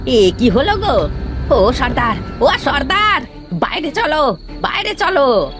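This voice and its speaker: Man acting an excitable, servile bandit henchman. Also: very high voice, slow pace, exaggerated voice. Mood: panicked. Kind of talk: Bengali cartoon voice acting. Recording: music behind.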